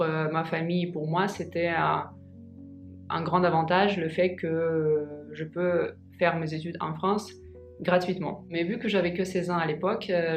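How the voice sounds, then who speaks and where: A woman in her twenties whose first language is Russian, talking in a casual vlog and pausing often with fillers and restarts. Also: low voice. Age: 20s. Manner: hesitant, many pauses and fillers. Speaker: vlogger. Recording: echoey room.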